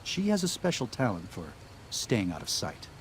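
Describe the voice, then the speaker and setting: A man playing an earnest, gentle missionary in a video game, talking in a mild, sincere and slightly hesitant way. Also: lowish voice, wildly swooping pitch, moderate pace, a little hiss or hum, rough recording. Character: earnest, gentle missionary leader guiding wasteland tribes.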